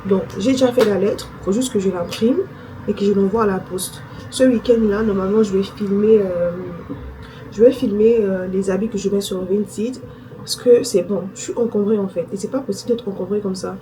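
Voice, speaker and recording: A woman's voice: mid-range voice, fairly even intonation, normal speed, mellow. Young female vlogger, chatty, talks to herself as she goes, noisy phone recording. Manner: chatty, thinking aloud with fillers. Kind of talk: casual vlog chat to camera.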